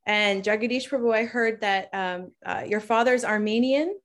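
Female podcast co-host, warm and effusive, quick to laugh, with plenty of fillers. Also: fairly high pitch. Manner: warm, gushing, laughs easily, frequent fillers. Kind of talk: casual chat and interview hosting.

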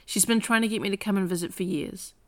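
A woman's voice: medium pitch, fast, close to an everyday voice. A woman reading the lines of a curious young woman who speaks in a playful, teasing way.

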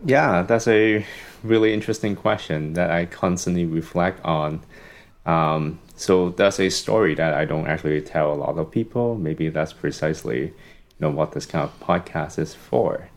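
A young man from Hong Kong, a psychology professor, speaking as a podcast guest and telling a long story in a relaxed way with many "um"s and "you know"s. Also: sits low, moderate pace. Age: young adult. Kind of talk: casual podcast conversation.

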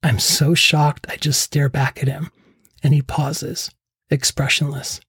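A middle-aged man with a husky voice narrating a scripted first-person memoir as a podcast host. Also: on the high side, little pitch movement, unhurried, fairly bright tone, dry recording. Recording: close dry voice over faint music.

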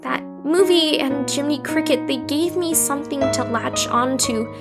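High-voiced, troubled young patient. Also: female voice, normal speed. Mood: defensive, wounded. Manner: confessional, earnest, wry. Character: troubled, wistful young female psychiatric patient. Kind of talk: audio drama monologue. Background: music.

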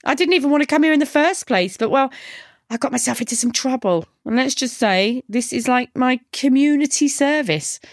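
A brash young punk woman, acted by a female audiobook narrator, glib and mocking with a deadpan edge. Her pitch sits raised and moves freely as she taunts. The sound is dry and close. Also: moderate pace, no room sound. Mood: deadpan teasing. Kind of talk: audiobook character dialogue.

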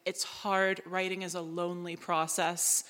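A woman answering questions on a live panel as a drama writer, talking in an animated, emphatic, conversational way. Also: on the low side, a little slow. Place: live event room at a festival. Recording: echoey hall, long reverb.

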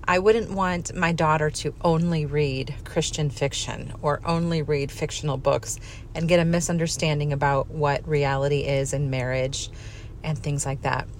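A woman's voice: low voice, steady tone, moderate pace, a little hiss or hum. A middle-aged woman giving a candid, conversational personal talk, self-deprecating and repeating words for emphasis. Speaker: speaker giving a personal talk on faith and family life.

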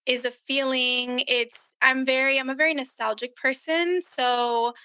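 A woman's voice: high voice, steady tone, a little slow, pushed and bright, smooth, dry recording. An American woman talking casually as a podcast co-host. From Miami, USA.

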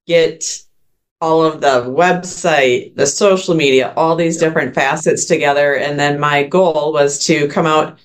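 A woman's voice: low-pitched, fairly even intonation, unhurried. A woman hosting a podcast, interviewing a guest in an upbeat, encouraging way. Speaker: podcast host. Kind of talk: casual interview.

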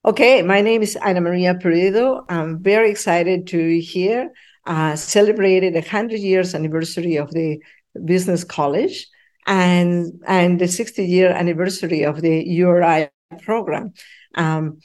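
A middle-aged or older woman from Peru answers interview questions in a slightly low voice. Her English has a Peruvian Spanish accent, and she speaks earnestly in halting, searching phrases. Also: a little mellow. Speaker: professor, podcast guest.

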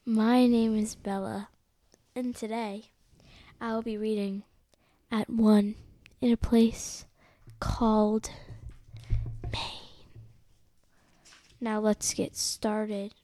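A woman hosting a read-along, reading a picture book aloud in a halting way, repeating and stumbling over some words. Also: mid-range voice, little pitch movement, dark tone.

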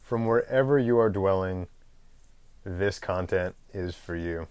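A man hosting his own podcast, talking off the cuff in a loose, rambling way, often pausing on "um" and clearing his throat. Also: sits low, darkish tone, no echo. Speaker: podcast host. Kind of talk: unscripted solo podcast monologue.

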